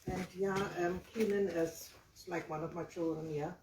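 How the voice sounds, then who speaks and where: A woman who opened her home for the gathering, introducing herself in a shy, quiet way. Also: fairly low pitch, fairly even intonation, moderate pace. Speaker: host of the gathering. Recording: echoey room, distant mic, noise.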